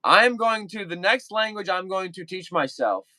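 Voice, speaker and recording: A man's voice: sits high, expressive, normal speed, sharp tone, no echo. Rambling young man on a livestream. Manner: rambling, repeats phrases, many fillers. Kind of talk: casual livestream chat with viewers.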